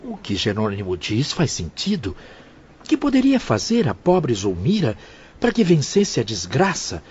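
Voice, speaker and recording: A man's voice: medium pitch, wildly swooping pitch, normal speed, darkish tone, husky, muffled recording, exaggerated voice. A man as a sympathetic spirit companion. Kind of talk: audio drama acting.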